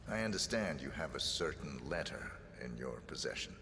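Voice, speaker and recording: A calm, deadpan assassin with a very low voice. He speaks with measured, dry menace in a reverberant space with faint noise. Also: heavily acted. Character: cold, calm hitman posing as a tattoo artist. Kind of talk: video game acting.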